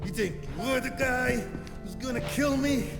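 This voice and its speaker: A defiant, arrogant mob villain in a crime game. His strained, very high voice drags slowly with creak, echoing in a long reverb beneath loud music. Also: exaggerated voice. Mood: defiant, gloating. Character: arrogant, defiant wounded mobster. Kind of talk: cinematic crime game drama acting.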